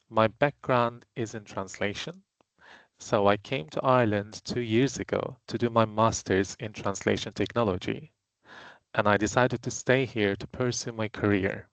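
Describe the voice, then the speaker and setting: A man's voice: fairly low pitch, no room sound, rough recording. A man, a project manager, giving a friendly, upbeat self-introduction at an online launch.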